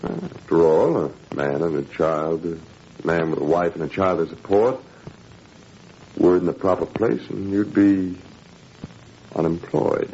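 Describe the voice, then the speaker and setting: A menacing Nazi official in a 1940s radio drama. His low voice slides widely up and down, mock-courteous and condescending, with drawling hesitations. The old recording is dull and noisy. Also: animated, a little slow, long pauses, warm tone. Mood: veiled threat. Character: smug, menacing Nazi education official, former university colleague.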